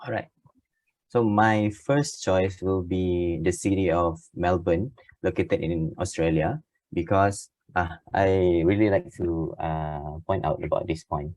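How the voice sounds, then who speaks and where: A man's voice: low-pitched, fairly even intonation, slow pace, a little mellow, no room sound. A young man with a Malaysian accent, English not his first language, presenting his choice in a group discussion with frequent hesitant fillers. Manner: hesitant, many filler sounds.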